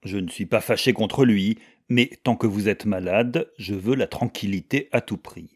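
A man reads a stern gentleman and head of the household in a storybook novel. His voice is fairly low, hard and curt, used to commanding and holding anger in check. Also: moderate pace, breathy edge. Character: stern, domineering gentleman and stepfather, coldly angry.

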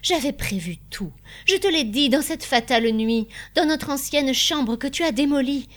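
A woman's voice: a little high, animated, moderate pace, pitched above the performer's own voice, lightly acted. A woman voicing an anxious, devoted wife in an audiobook, with an emphatic, pleading delivery. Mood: despairing. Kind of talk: dramatised audiobook dialogue.

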